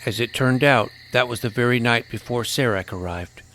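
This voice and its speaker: A plucky young hero from another world played by a man tells his own story in a fantasy audio drama. The voice is medium-pitched for a man, with scripted storytelling over faint ambient noise. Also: normal speed, a bit bright, lightly acted, low-quality recording. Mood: earnest storytelling. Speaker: narrator and lead character. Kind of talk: first-person scripted fantasy narration with dialogue.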